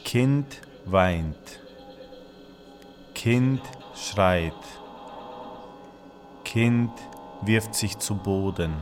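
A man giving a measured, declamatory performed reading of literary texts, in a spoken-word style. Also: fairly low pitch. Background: music.

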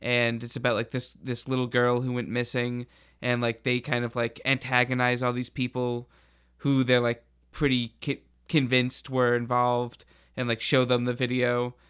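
A man's voice: mid-range voice, monotone, moderate pace, a bit bright, clean tone. A man chatting casually on a podcast, telling things in a rambling, informal way full of filler words. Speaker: podcast speaker.

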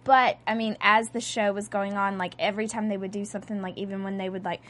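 A woman's voice: medium pitch, flat delivery, fast talker, fairly bright tone. Musing woman podcast co-host. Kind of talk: casual podcast chat.

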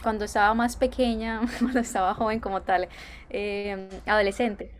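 A young woman from Colombia with a Colombian accent, answering interview questions in a thoughtful way with many filler pauses. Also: medium pitch, slight background noise. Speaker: interview guest.

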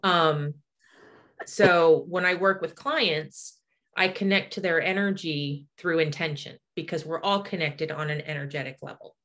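A woman's voice: sits low, a little slow, fairly bright tone. A woman in her forties talking casually and explaining her practice as an energy healer. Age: 40s.

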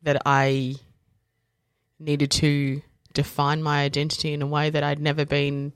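A woman's voice: low-pitched, a little slow, smooth, dry recording, studio quality. A woman, a podcast guest telling stories in casual conversation, full of fillers and self-corrections. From South Africa, raised in Australia. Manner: animated storytelling, acts out other people's lines.

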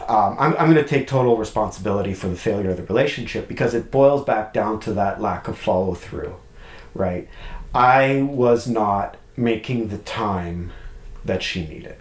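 Lower-voiced man, candid and reflective. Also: normal speed, echoey room. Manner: open, reflective, many restarts and "right?" tags. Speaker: podcast guest. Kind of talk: candid conversational chat.

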